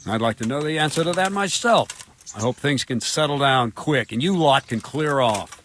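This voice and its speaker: Actor as a blunt medieval guard captain. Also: male voice, medium pitch, animated, moderate pace, fairly bright tone, slight background noise, natural voice. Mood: grumbling, informative. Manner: blunt, matter-of-fact. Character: plainspoken, grumbling, dutiful medieval guard captain. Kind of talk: video game dialogue acting.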